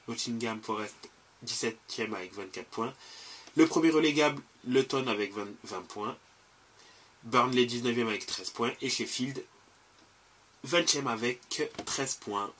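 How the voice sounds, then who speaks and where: A man's voice: medium pitch, a bit bright. A man hosting a casual football podcast, talking in a hesitant way with many fillers and restarts. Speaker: podcast host.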